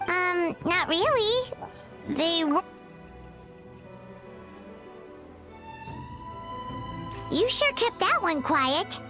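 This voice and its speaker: A woman voicing a small, excitable, chatty childlike fairy companion in a video game. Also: slow, heavily acted. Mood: curious, puzzled. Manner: exclamatory, squeaky, childlike. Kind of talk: English video game voice acting. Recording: game music behind the voice.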